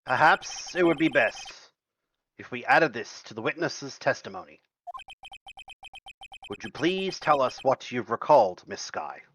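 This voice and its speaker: A man's voice: mid-range voice, big pitch swings, measured pace, pauses often, fairly bright tone, a little hiss or hum, poor audio. A man voicing a fussy elderly judge in a live fan dub of a game, with a theatrical, swooping delivery. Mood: puzzled. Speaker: fan voice actor.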